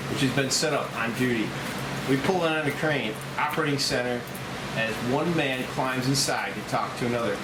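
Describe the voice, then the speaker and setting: A man's voice: medium pitch, recorded from a distance, very noisy. Male table-read narrator, scripted scene descriptions, off-mic in a reverberant room. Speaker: narrator reading stage directions.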